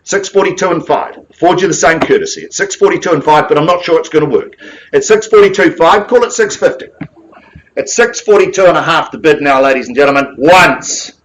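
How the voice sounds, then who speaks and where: Male auctioneer, New Zealand accent, higher-pitched, booming, rhythmic bid-calling patter. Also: animated, brisk, fairly bright tone, low-quality recording. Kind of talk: live property auction calling. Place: auction room with bidders present.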